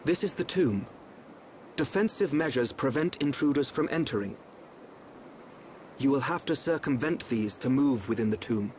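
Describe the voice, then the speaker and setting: A man voicing a calm, enigmatic young seer of a royal court, speaking in a measured, formal, cryptic way in a video game. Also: mid-range voice, slight background noise, lightly acted. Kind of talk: scripted video game cutscene acting.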